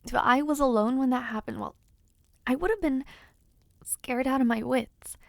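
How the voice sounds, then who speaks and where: A woman playing a young noble debutante, sweet, playful and softly hesitant, in an intimate fantasy audio roleplay. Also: high-pitched, moderate pace, creaky, no room sound, clean studio sound. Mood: tender, grateful. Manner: soft, hesitant, gently teasing.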